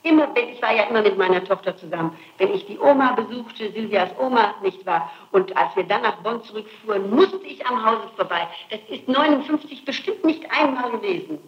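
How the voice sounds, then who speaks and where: A woman's voice: fairly high pitch, lively intonation, a little fast, hardly any pauses. A woman being questioned as a suspect, answering emphatically and insistently and repeating her phrases. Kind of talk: spontaneous interrogation testimony. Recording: dull, noisy, echoey archival tape.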